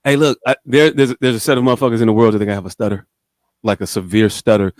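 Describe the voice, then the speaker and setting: A man's voice: on the low side, steady tone, fast, darkish tone, no room sound. A man chatting casually as a podcast host, with slangy, profane, joking banter and a slight stutter. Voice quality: slight speech impediment with some stutter. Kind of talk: casual chat.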